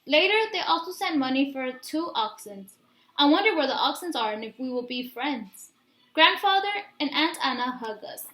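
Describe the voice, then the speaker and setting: A woman's voice: high voice, expressive, normal speed, fairly bright tone. A woman reading a children's book aloud as narrator. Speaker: story reader. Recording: echoey room.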